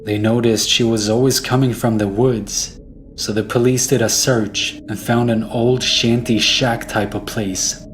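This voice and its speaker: A man's voice: medium pitch, steady tone, unhurried, slight background noise. A man narrating a scripted first-person horror story. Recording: music behind the voice.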